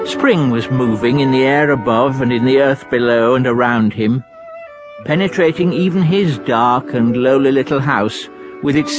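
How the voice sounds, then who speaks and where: Male narrator for a children's classic, medium pitch, violin music behind. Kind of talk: storybook reading.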